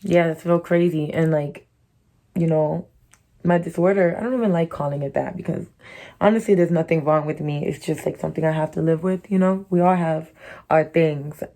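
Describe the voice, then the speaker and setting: A young American woman from Connecticut talks straight to her viewers in a loose storytime. Her voice sits slightly low, with her own hard-to-place accent. She is blunt and profane, and her talk is strung together with "like" and "you know". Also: steady tone, normal speed, warm tone. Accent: her own distinctive American accent. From Connecticut, USA. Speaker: YouTuber. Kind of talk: candid storytime monologue.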